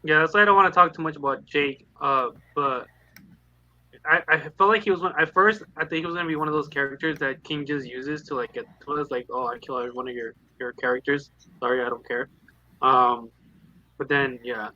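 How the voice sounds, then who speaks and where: A man's voice: mid-range voice, steady tone, a little fast, sharp tone. A man co-hosting a casual book chat, rambling and acting out his own reactions as quotes. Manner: rambling, self-quoting. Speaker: readalong co-host. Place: online group call. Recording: dull, band-limited call audio.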